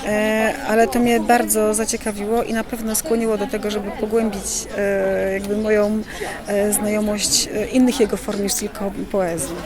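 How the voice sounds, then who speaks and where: A woman giving a hesitant vox-pop answer, pausing on fillers. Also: medium pitch, flat delivery. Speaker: festival visitor interviewed. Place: outdoor festival. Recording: crowd noise around. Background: crowd.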